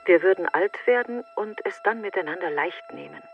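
A woman's voice: fairly low pitch, expressive, a little fast, faint music behind. An actress playing a grieving, self-doubting woman in a literary radio monologue, in short introspective fragments through a narrow filter. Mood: reflective, resigned. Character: grieving woman afraid of not being enough. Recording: thin, phone-like narrow band, very dry.

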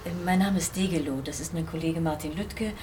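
An actress playing a composed, considerate police detective in a naturalistic crime drama. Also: female voice, sits low, brisk, noisy background, natural voice. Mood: gentle, official.

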